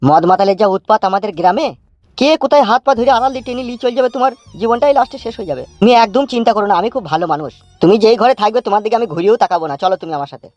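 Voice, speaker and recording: Fast-talking village drunkard played by a man. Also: sits high, animated, darkish tone, dry recording, lo-fi sound. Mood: coaxing. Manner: coarse rural slang, wheedling reassurance. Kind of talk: comic cartoon dialogue. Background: music.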